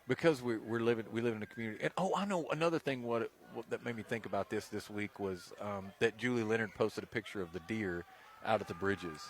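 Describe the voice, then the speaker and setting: A man with a fairly low voice talks very quickly, like someone swapping stories in a radio booth. His delivery is casual and conversational, and he acts out quoted lines as a storyteller would. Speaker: radio sports broadcaster. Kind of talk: casual on-air storytelling and banter. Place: live high school football radio broadcast.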